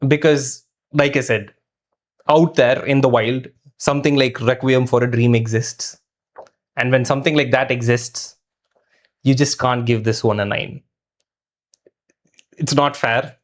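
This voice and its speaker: A man talks through a film review as a host in casual conversation. His voice sits at a medium pitch. He is thoughtful and hesitant, feeling his way toward each judgement. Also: fairly even intonation, measured pace, long pauses.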